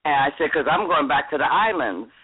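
A woman in her seventies from Connecticut, telling stories as a guest in a radio interview in a chatty, lively way. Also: deep voice, fairly quick, husky, no echo. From Connecticut, USA. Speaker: fashion designer guest. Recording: dry, tinny phone-like radio sound.

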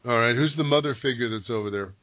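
A man giving psychic readings on a call-in radio show. He talks in a halting, searching way and often restarts his sentences. His voice sits at a middle pitch and comes through dry and narrow, like a phone line. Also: dry recording. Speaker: psychic medium, show regular.

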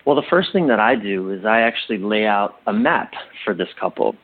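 Husky-voiced man explaining thoughtfully. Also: fairly low pitch, animated, fairly quick, phone-quality sound. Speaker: interview guest, relationship coach. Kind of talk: explanatory interview talk.

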